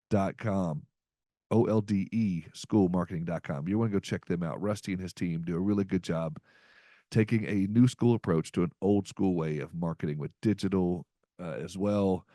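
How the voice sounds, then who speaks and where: A man's voice: low voice, moderate pace, warm tone, no room sound, clean studio sound. A man hosting a podcast, chatting casually in an upbeat, folksy way with lots of fillers. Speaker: podcast host. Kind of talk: casual podcast chat with ad reads.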